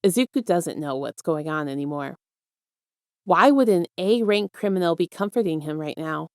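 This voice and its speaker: A woman narrates a piece of fiction in a somewhat low voice. She reads from a script as a storyteller. The recording is very dry, with hardly any room sound. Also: animated, moderate pace, no echo. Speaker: podfic narrator.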